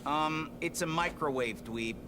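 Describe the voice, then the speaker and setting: A man voicing a gruff, sarcastic, hot-headed teenage turtle in a video game, brash and full of street slang. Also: fairly high pitch, unhurried, background noise, close to an everyday voice. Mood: sarcastic, irritated.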